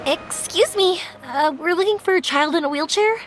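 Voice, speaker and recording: An actress playing an upbeat, caring young heroine in a video game. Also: female voice, extremely high pitch, moderate pace, music in the background. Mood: friendly, concerned.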